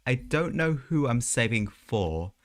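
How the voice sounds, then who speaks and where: A man's voice: medium pitch, sing-song, measured pace, no echo, clean studio sound. A man live streaming a video game, chatting casually in a rambling way with hesitant asides.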